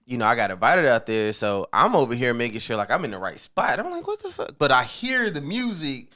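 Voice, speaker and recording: A man's voice: mid-range voice, big pitch swings, brisk, sharp tone. Male, American, 30s. Middle register, comedian in casual group chat.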